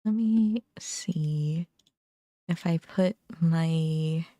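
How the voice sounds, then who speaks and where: A young adult woman chatting casually on a livestream, musing informally in short, halting phrases. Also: sits low, dark tone, no room sound, studio quality. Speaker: game streamer. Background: background music.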